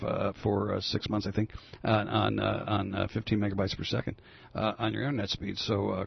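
An older man with a growly, gravelly voice hosting a casual talk radio show, rambling with many fillers and restarts. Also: lowish voice, a little hiss or hum. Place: radio studio. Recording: dull lo-fi radio broadcast.

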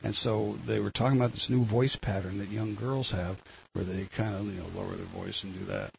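An older man with a gravelly voice, hosting a rambling solo commentary on the news, full of fillers and restarts. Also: on the low side, fairly even intonation, fairly quick. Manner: meandering, many fillers and restarts. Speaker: solo show host. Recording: dull lo-fi with faint noise.

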